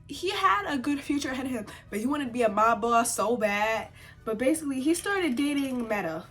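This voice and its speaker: Chatty young woman with a congested cold. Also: fairly high pitch. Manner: chatty and opinionated, many "like" fillers, animated outbursts. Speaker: vlogger reviewing a TV series. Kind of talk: casual sit-down chat to camera. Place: home sit-down setup.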